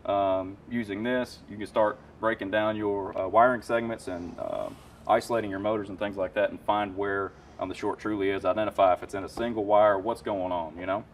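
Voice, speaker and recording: A man's voice: on the low side, steady tone, fairly quick, distant mic, slight background noise. A man in his thirties, an HVAC technician, explaining tools in casual, enthusiastic shop talk with lots of fillers. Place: warehouse parts room with shelves.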